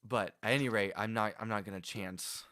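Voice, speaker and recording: A man's voice: fairly low pitch, steady tone, brisk, pushed and bright, dry recording, clean studio sound. A man casually chatting with his viewers while playing a game on a livestream, rambling and laughing as he goes. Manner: chatty, rambling, frequent restarts and laughs, talks to viewers by name. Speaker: game streamer.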